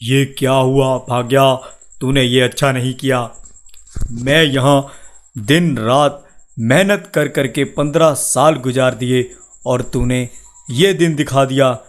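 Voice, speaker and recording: A man's voice: medium pitch, steady tone, takes it slowly, natural voice. A man voicing a poor, weary village labourer and husband in a cartoon story, speaking earnestly and plainly. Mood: angry, hurt. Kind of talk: Hindi cartoon story dialogue.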